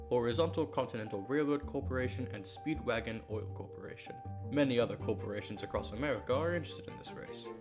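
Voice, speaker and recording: A man plays a dutiful male aide with a creaky, mid-pitched voice. He reports in a formal, businesslike way in a manga dub, with music playing clearly behind him. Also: lightly acted. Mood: formal, announcing. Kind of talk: dramatic manga dub acting.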